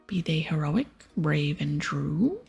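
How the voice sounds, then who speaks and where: A woman voicing a gruff, dramatic male pirate captain in archaic sea-dog talk, half-whispered as in an ASMR game read-aloud. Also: deep voice, sing-song, slow pace, pitched below the performer's own voice, exaggerated voice. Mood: gruff, grim. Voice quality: breathy, half-whispered, gravelly. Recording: soft music behind.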